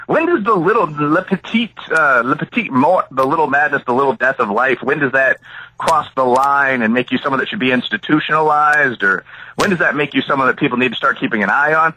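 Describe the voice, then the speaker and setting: A man's voice: medium pitch, a little fast, sharp tone, a little hiss or hum, rough recording. A middle-aged American man from the Chicago area giving analytical, opinionated interview answers as an academic, full of asides and examples. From Chicago area, United States. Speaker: interview guest, academic and author.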